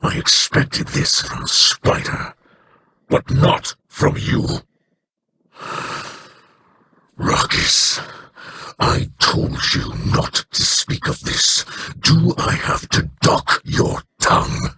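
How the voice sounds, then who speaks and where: A man voicing a grave, weary alien leader and stern father in a video game, in a solemn, breathy growl. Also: slow, bright, forceful tone, a little hiss or hum. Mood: angry, disappointed. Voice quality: very husky, rasping, breathy growl. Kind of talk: scripted game voice acting.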